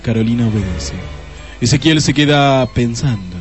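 Narrador argentino de radioteatro, tono medio. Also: male voice, mid-range voice, lively intonation, moderate pace, faint music behind. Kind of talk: scripted radio play narration.

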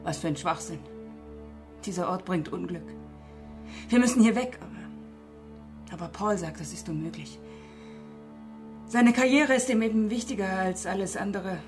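An actress plays a distraught mother in a radio play, pouring out confidences with bitterness. Her medium-pitched voice swings between agitated outburst and murmur. Music sits clearly beneath her. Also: moderate pace, long pauses, a little mellow, close to an everyday voice. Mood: bitter, agitated. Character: distraught, embittered married mother confiding dark secrets. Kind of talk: radio drama acting. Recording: prominent music under the voice.

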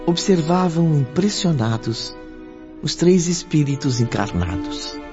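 A man's voice: a little high, expressive, a little slow. A Brazilian man delivering scripted narration for a radio drama. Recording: dull lo-fi, faint music.

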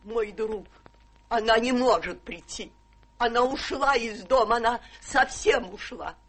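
A woman's voice: a little high, measured pace. An actress playing an anxious, fussing genteel companion to a rich lady, melodramatic and pleading in radio-drama style. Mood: anxious, cautious. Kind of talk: stage-trained radio drama acting.

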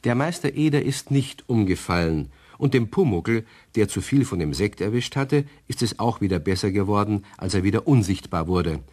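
A man's voice: fairly low pitch, lively intonation, normal speed, hardly any pauses, a little mellow. A man narrating a scripted story for children in a radio play.

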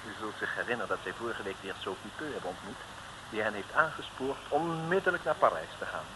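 A man's voice: lowish voice, expressive, fast talker. A man narrating a radio comedy serial in a wry, playful way, with chatty asides to listeners. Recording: noisy vintage radio recording.

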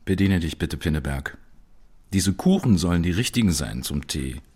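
An actor playing a tactful, well-off friend and landlord in a radio play, courteous and considerate in manner. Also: male voice, low-pitched, expressive, normal speed, a little mellow, husky. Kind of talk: German radio play acting.

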